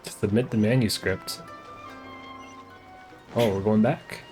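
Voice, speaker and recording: A man's voice: fairly low pitch, fairly even intonation, slow, a little mellow. A man giving casual, slangy live commentary as he plays a game, offhand in his reactions. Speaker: game streamer. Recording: game music behind the voice.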